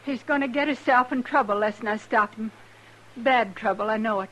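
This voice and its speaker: An actress playing a humble, desperate homesteader widow with pleading, rustic speech in an old radio drama. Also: female voice, a little high, normal speed, fairly bright tone, a little hiss or hum, lightly acted, rough recording. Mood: worried, pleading. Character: anxious, humble, starving homesteader mother, recently widowed. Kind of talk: 1950s radio drama acting.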